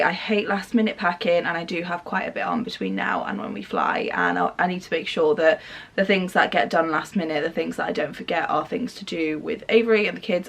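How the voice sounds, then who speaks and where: A woman of thirty chatting through a packing vlog with plenty of ums, her voice croaky and fading from a cough. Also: on the low side, fairly bright tone. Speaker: family vlogger. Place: her bedroom.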